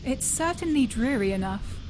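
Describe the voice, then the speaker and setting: Woman playing a high-voiced, prim young heroine. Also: expressive, normal speed, very noisy. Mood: dry, composed. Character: proud, principled, prim young British woman, detective's partner. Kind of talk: audio drama acting.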